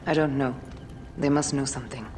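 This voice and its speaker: A woman's voice: extremely low pitch, steady tone, a little fast, darkish tone, slight background noise. Clipped, resolute warrior woman. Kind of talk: dramatic video game acting.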